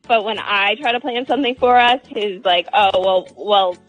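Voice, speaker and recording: A woman's voice: mid-range voice, normal speed, a bit bright, lo-fi sound, soft background music. A woman calling a radio show, talking casually and candidly in a hesitant, wordy way. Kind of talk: casual, candid relationship talk.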